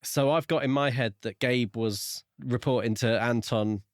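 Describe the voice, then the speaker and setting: A man's voice: mid-range voice, a little slow, no echo, studio quality. A man co-hosting a book podcast, discussing his views in a casual, conversational way. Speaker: podcast co-host reviewing books.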